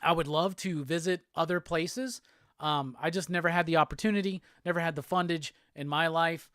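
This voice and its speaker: High-voiced Southern man chatting. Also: moderate pace, no echo, clean studio sound. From Alabama, US South. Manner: relaxed, rambling, answers chat comments with fillers. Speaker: host. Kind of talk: casual live-stream chat.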